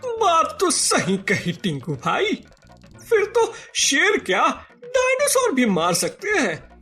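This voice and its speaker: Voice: high-pitched, huge pitch swings, slow, pushed and bright, soft background music, heavily acted. An actor playing a dim, cowardly strongman sidekick in a comedy cartoon, whiny and prone to stammering. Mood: eager, naive. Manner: whiny, stammering when scared. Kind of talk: exaggerated Hindi cartoon comedy acting.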